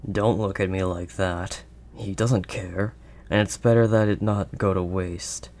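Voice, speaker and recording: A man voicing a gruff but kindly werewolf of the wild woods in an intimate audio roleplay, with a halting, gently protective manner. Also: low voice, fairly even intonation. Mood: gentle, awkward. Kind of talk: audio roleplay, intimate second-person acting.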